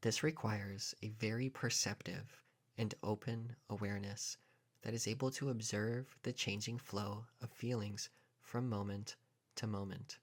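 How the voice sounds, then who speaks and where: A man with a fairly low voice narrates a guided meditation. He speaks calmly and deliberately, in short phrases set apart by long pauses.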